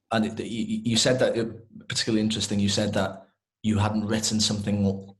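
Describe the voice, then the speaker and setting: A man with a Welsh accent speaking in a halting, hesitant way as he summarises in an informal Q&A. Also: low voice. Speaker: interviewer and interpreter.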